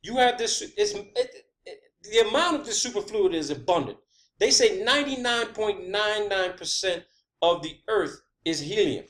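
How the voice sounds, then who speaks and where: Emphatic high-voiced man. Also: fairly bright tone. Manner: emphatic, profane, repeats phrases for stress; checks in often with "okay" and "all right". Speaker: video creator presenting own theories. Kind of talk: informal monologue rant and explanation.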